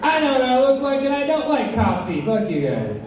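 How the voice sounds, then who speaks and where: A man's voice: very high voice, huge pitch swings, brisk, crowd noise. A man in his thirties doing a crude, self-deprecating stand-up set. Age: 30s. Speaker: stand-up comic. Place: comedy club stage. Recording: echoey club from far off, noisy.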